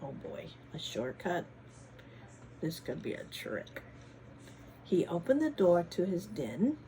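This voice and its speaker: A woman reading a picture book aloud to children in a teacherly way, pausing to ask them questions and adding dramatic emphasis. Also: on the low side, sing-song, warm tone, a little hiss or hum, poor audio. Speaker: children's story reader, former teacher. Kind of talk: animated picture-book read-aloud with chatty asides to children.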